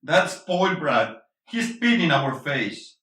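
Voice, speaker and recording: Echoey, forceful angry husband acted by a man. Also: a little high, very animated, takes it slowly, full character voice. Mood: angry, bitter. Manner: confrontational, emphatic, swearing, switches between English and Spanish. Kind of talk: intense stage-play reading. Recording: echoey room, distant mic.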